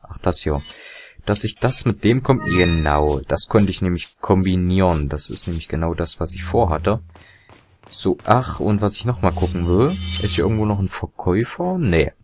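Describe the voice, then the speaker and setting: A young man with a very deep, slightly hoarse voice chats his way through a video game. He rambles, corrects himself and fills gaps with ums and chuckles. A faint hiss sits behind his desk mic. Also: expressive, moderate pace. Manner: rambling, chatty, self-correcting with frequent fillers and laughs. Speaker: let's play commentator. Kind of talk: casual gameplay commentary.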